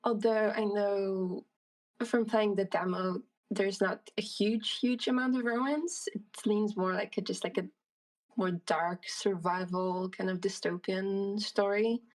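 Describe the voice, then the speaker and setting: A woman's voice: mid-range voice, steady tone, a little slow, far from the mic, rough recording. A woman speaking casually and thoughtfully as an interview guest, feeling her way through her answers with some hesitation. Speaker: interview guest, indie game creator.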